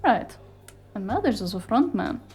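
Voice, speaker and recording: A woman playing a sharp, street-savvy, exasperated fixer in a cyberpunk noir audio drama, sardonic and emphatic. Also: medium pitch, sing-song, moderate pace, soft background music. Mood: wary, analytical.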